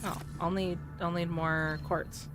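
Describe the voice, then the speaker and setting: A woman's voice: on the low side, flat delivery, unhurried. A woman streaming a game who chats to her viewers and thinks aloud as she plays. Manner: chatty, talks to chat and to herself. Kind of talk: casual live game commentary. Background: game music.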